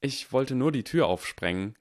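A man voicing a defiant young schoolboy wizard who speaks with clipped, deadly calm. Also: medium pitch, normal speed, no room sound, clean studio sound, pitched above the performer's own voice, natural voice. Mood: icy calm.

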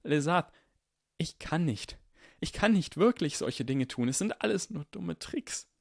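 Audiobook role: earnest, burdened boy with a strained, expressive voice, played by a man. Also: medium pitch, moderate pace, no room sound, clean studio sound, voice pushed up, close to an everyday voice. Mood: desperate. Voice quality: strained, sometimes hoarse. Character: anguished, burdened young boy hero, earnest and guilt-ridden.

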